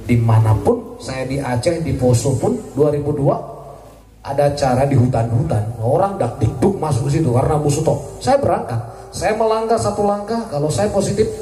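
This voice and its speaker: A man, a military officer, giving a joking, lively speech at a community gathering. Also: mid-range voice. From Indonesia. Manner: jokey and lively, laughs at his own jokes. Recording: echoey, distant, crowd noise.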